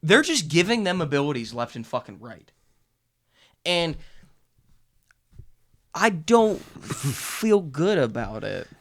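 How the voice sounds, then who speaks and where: A man's voice: a little high, big pitch swings, slow, long pauses, a bit bright. A man co-hosting a podcast in casual, opinionated chat, blunt and joking with lots of swearing. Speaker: podcast co-host reviewing a TV series.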